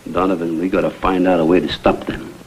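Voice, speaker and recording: A man's voice: deep voice, little pitch movement, fast, slight background noise, lightly acted, poor audio. An American man playing an earnest, persistent photographer-investigator in a vintage TV crime drama, speaking in an urgent, clipped way. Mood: urgent pleading.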